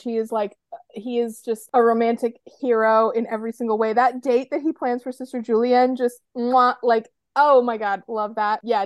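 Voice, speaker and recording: American female co-host, higher register, dry sound, effusive fan chatter. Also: no echo. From United States. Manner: gushing, effusive. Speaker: podcast co-host leading a game. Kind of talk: casual fan chat.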